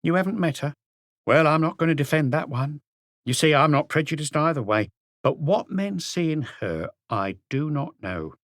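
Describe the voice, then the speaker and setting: A man's voice: fairly high pitch, lively intonation, normal speed, no echo, studio quality, voice pushed up, close to an everyday voice. Man doing a brash, plump café landlady. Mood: gossiping, scornful. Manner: chattering, scornful, indignant. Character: plump middle-aged woman café owner, brash gossip.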